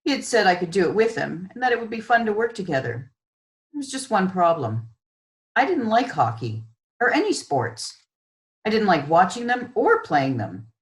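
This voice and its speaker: A woman reading a children's story aloud as an author, with expressive, measured narration. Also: medium pitch.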